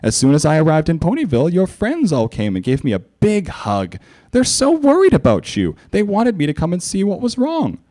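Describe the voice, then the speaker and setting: A man's voice: a little high, huge pitch swings, moderate pace, mellow, voice pushed up. A man voicing a caring, regal female cartoon pony princess with a gracious, concerned manner.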